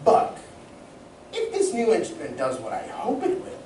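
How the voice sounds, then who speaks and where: A woman's voice: on the low side, wildly swooping pitch, normal speed, dark tone. A woman playing a genial, proud scientist inventor, theatrical and emphatic, in an old-time radio serial reading. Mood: proud, explaining. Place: community playhouse stage, live reading. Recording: echoey, distant mic, faint noise.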